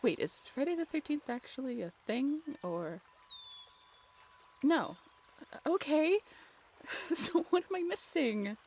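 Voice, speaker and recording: A woman voice actor playing a playful, teasing young woman in an intimate, conversational roleplay audio drama, expressive and a little halting. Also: high voice, slight background noise, exaggerated voice. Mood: flustered, confused.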